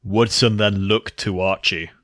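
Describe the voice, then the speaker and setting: A man's voice: fairly low pitch, steady tone, measured pace, fairly bright tone, dry recording, studio quality. A man giving a scripted narration of a horror story.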